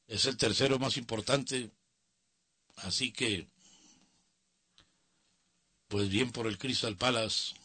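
A Mexican man hosts a sports radio show in a sharp, bright voice. He talks casually and gives his opinions in colloquial, grumbling asides. The sound is close and very dry, as in a radio studio. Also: medium pitch, slow pace, pauses often, breathy edge, no echo.

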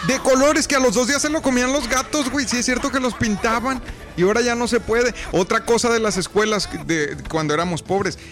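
A young Mexican man in his twenties hosting a radio show, teasing callers with quick slang and jokes. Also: very high voice, fairly bright tone. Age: 20s. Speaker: radio host. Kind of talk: casual radio banter. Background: music playing.

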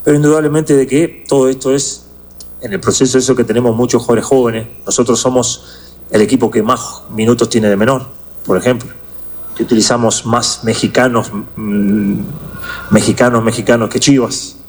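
A man speaking as a soccer coach at a press conference, halting and repeating words for emphasis. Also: medium pitch, fairly even intonation, a little mellow, a little hiss or hum.

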